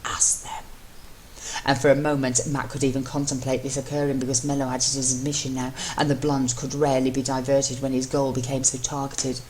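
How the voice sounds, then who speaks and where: A woman's voice: rumbling low, level tone, brisk, hardly any pauses, dark tone. A woman reading fan fiction aloud as dramatic scripted narration, in an amateur recording. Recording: noisy amateur home recording.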